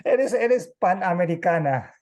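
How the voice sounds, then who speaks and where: A man's voice: sits high, lively intonation, a little slow, darkish tone. A man co-hosting a podcast interview, jovial and chatty. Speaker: podcast co-host.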